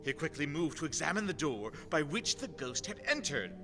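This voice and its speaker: Animated male narrator in long reverb. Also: on the high side, normal speed. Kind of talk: dramatic scripted narration in a radio play. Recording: long reverb with music underneath.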